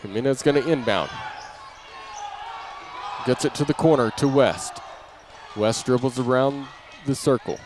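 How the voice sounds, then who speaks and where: Male basketball commentator, medium pitch, live play-by-play, clipped phrasing that rises on big plays, noisy gym recording. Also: a little slow, long pauses. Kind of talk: live radio-style sports commentary. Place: indoor basketball gym during a game. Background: gym ambience, bouncing basketball.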